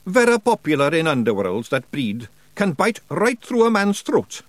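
A man's voice: fairly high pitch, big pitch swings, moderate pace, dry recording, voice pushed up. A man voicing a tiny blustering Scottish fairy chief. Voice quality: broad dialect, blustering and cheerful. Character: tiny boisterous male fairy warrior chief. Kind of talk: audiobook character voice.

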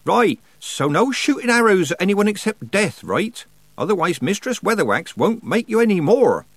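Cheeky old country witch, male narrator acting her, bossy and folksy, raised pitch, very animated storybook delivery. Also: moderate pace, no room sound, heavily acted. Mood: scolding. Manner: folksy, colloquial, teasing and scolding. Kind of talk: audiobook character dialogue.

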